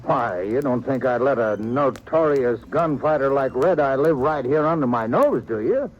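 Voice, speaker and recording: An actor playing a folksy, evasive small-town sheriff in an old radio western, blustering and hedging with nervous geniality. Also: male voice, medium pitch, expressive, moderate pace, close to an everyday voice. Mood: flustered, cagey. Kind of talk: 1950s radio western acting. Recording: dull, slightly noisy vintage radio recording.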